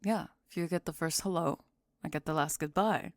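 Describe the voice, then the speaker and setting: A woman acts out an unimpressed goth teenage girl in a scripted ASMR roleplay. Her voice is on the low side, recorded close with no room sound. She is terse and deadpan, needling with sardonic understatement. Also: fairly quick, dry recording, close to an everyday voice. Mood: terse, resigned.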